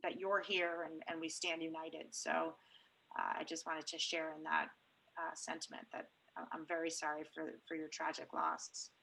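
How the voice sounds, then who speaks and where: A woman chairing a public online meeting over a video call, speaking in a halting, measured way. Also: low voice, little pitch movement, creaky, bright, forceful tone, slight background noise, low-quality recording.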